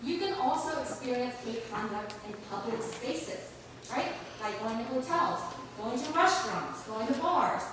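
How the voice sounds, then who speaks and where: A woman on a panel from a human rights office, explaining to a public audience in an energetic, emphatic way. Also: on the high side, a little slow. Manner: energetic and emphatic, says she has a loud voice. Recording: echoey room, mic from a distance, background noise.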